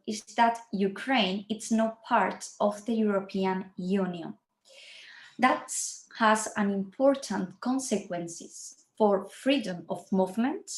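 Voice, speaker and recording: Slow careful Spanish-accented woman. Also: medium pitch, roomy sound. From Spain. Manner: careful, apologetic about her English. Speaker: university researcher presenting. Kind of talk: online academic presentation.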